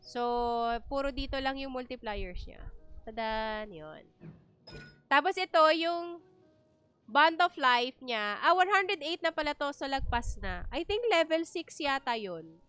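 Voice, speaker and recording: A woman's voice: high-pitched, expressive, measured pace, pushed and bright, soft background music. A woman with a Filipino accent giving casual, chatty livestream game commentary, explaining things as she goes. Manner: chatty, explanatory, switches between two languages. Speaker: game streamer.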